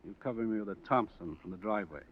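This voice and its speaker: An American actor playing a hard, commanding police lieutenant in a 1950s radio drama, brusque and clipped. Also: male voice, fairly low pitch, racing, slightly rough. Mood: tense. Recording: muffled, very noisy old radio recording.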